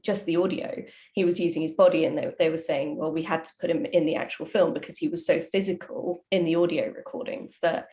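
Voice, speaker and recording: A woman with a slightly low voice co-hosts a casual interview. She puts her questions in a loose, enthusiastic, slightly nerdy way. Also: fairly even intonation, brisk, dark tone, slight background noise. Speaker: writer, co-host asking questions. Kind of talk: casual chat.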